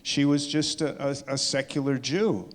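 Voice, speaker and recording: A man answers questions informally as a filmmaker at a screening. His voice sits at an average pitch and rings in a reverberant hall. He speaks haltingly, often circling back to rephrase. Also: steady tone. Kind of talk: informal audience Q&A. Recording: echoey hall, long reverb.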